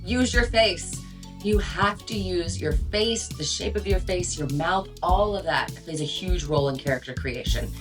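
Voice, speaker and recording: A woman's voice: on the low side, measured pace, music in the background. A woman hosting and coaching voice-over in a casual, chatty, emphatic instructional style. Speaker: voice-over coach and show host. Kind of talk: casual instructional video chat.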